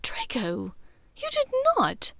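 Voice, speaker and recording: Young witch sweetheart, audiobook, woman narrator acting: high, earnest, decisive, emphatic. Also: huge pitch swings, slow pace, gravelly, fairly bright tone, no room sound, exaggerated voice. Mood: incredulous.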